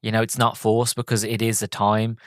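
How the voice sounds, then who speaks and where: A man's voice: fairly low pitch, monotone, fairly quick, no echo. Man, casual podcast chat, groggy with a cold, stammering restarts, dry close mic. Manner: rambling, lots of restarts and ums. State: has a cold, a bit groggy. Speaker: podcast host. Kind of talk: casual solo movie review chat.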